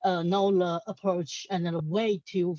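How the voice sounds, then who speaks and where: A woman's voice: fairly low pitch, level tone, unhurried, warm tone, dry recording, lo-fi sound. A woman from Taiwan with a Taiwanese accent speaks hesitantly as a panelist, repeating words as she works out her thoughts. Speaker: symposium panelist. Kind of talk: unscripted panel discussion.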